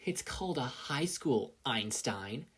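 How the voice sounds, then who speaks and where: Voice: mid-range voice, expressive, slow pace, fairly bright tone, breathy edge, exaggerated voice. A narrator with an American accent voicing a snide, dry male spirit in a story reading. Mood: sarcastic. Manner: sarcastic, needling. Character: snide, dry, eye-rolling male ghost.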